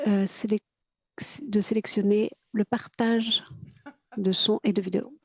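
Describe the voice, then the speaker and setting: A woman interpreting a conference talk simultaneously over a video call. She speaks haltingly, with many restarts and fillers. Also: mid-range voice, level tone, slightly rough.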